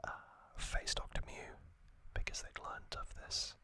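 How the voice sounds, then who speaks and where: A man whispering an unhurried, halting ASMR explanation in a breathy, unvoiced voice, as the host of a trivia recap. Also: bright, forceful tone, no room sound.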